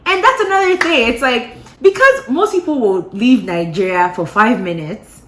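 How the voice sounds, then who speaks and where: A woman vlogger casually telling a gossipy story to her viewers, animated and full of playful asides. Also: on the high side, normal speed, slight background noise. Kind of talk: casual gossip chat to camera. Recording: roomy echo.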